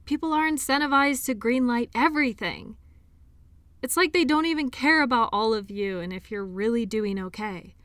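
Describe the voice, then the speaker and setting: A woman acting an earnest, defensive and lonely sports doctor in an intimate audio drama, speaking in an emotional, halting way. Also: fairly high pitch, a bit bright, dry recording, lightly acted. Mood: earnest, wistful. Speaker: audio roleplay performer.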